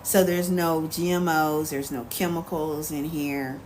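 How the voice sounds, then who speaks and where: An American woman chatting casually on a livestream as host, answering viewers in an animated, sassy, colloquial way. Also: low-pitched, a little slow, rough recording. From United States. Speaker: live stream host. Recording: clear background noise, ambient sound.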